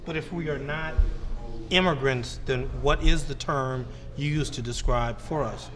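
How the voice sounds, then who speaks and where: A man's voice: medium pitch, measured pace. A man moderating a roundtable talk show, asking the panel direct questions and calling on people by name. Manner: probing, asks direct questions. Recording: echoey room with steady noise.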